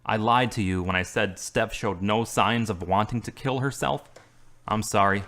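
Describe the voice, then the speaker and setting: A man's voice: lowish voice, normal speed, a bit bright. A man playing a guilt-ridden grieving man. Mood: remorseful. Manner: self-deprecating, hesitant. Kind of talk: amateur drama acting.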